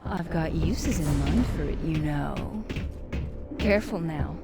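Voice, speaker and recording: An actress playing a seductive dark companion in a fantasy game, sultry, sly and teasingly sardonic. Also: female voice, deep voice, slow, over loud music. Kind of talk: dramatic fantasy game acting.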